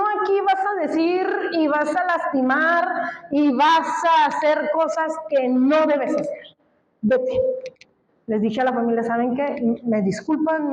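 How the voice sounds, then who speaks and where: A woman's voice: high voice, a little mellow, reverberant, dull audio, slight background noise. A woman from Colombia preaching a sermon, speaking emphatically and asking the congregation questions. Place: church.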